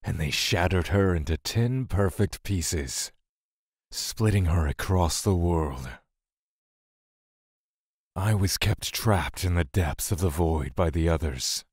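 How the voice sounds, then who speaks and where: An actor playing a brooding, bitter male god in an audio drama, grieving and vengeful, speaking slowly with cold menace. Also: low-pitched, darkish tone, no echo, studio quality. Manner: slow, deliberate, cold menace.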